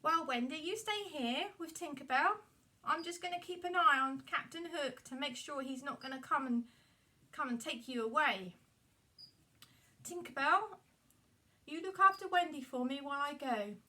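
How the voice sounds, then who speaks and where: Woman playing an excitable high-pitched adventurous boy. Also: normal speed, distant mic. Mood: protective. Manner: excitable, whooping, encouraging. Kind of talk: children's puppet-show storytelling.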